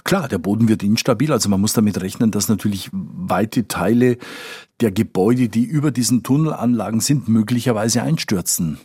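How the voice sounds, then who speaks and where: Man, low husky voice, conversational correspondent, emphatic repetitions, dry close sound. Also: fairly quick, darkish tone, no echo. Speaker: foreign correspondent. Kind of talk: conversational expert explanation in an interview.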